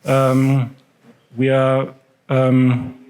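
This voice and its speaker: German-accented male speaker, conference talk, halting delivery with lots of ums. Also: medium pitch, completely flat delivery, a little mellow, clear, resonant tone. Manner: hesitant, many fillers. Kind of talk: stage presentation.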